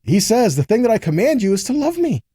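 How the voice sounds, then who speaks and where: A man's voice: high-pitched, very animated, fast, mellow, husky, no echo, studio quality. A man giving a conversational Bible teaching, reading verses aloud and explaining them earnestly, often checking in with short rhetorical questions.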